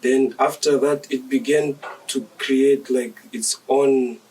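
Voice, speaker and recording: A young man from Zambia, a dancer being interviewed, speaking in a measured, reflective and polite way. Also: mid-range voice, steady tone, a little mellow, recorded from a distance, a little hiss or hum, low-quality recording. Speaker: dancer guest. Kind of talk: interview conversation.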